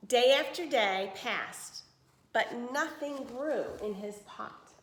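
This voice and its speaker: A woman reading a children's story aloud with expression, pausing to explain and put questions to her listeners. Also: fairly high pitch, animated, reverberant, slight background noise. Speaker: teacher reading a picture book aloud.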